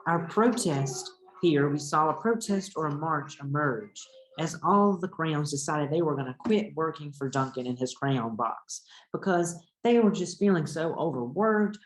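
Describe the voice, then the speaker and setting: Man teaching a read-aloud in an echoey room. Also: a little high. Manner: instructive, addressing young pupils. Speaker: teacher reading a picture book aloud. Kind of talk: read-aloud lesson with explanations and questions.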